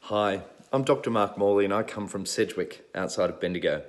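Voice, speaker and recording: A man's voice: lowish voice, lively intonation, brisk. An Australian man introducing himself as a new board member. He talks conversationally, with frequent ums and uhs. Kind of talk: prepared self-introduction.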